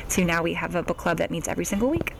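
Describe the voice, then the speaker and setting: A woman's voice: fairly low pitch, rapid-fire, creaky, heavy background noise. A woman in her thirties from a book club, chatting in a friendly way with light laughs. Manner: friendly, laughs between lines. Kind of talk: casual chat.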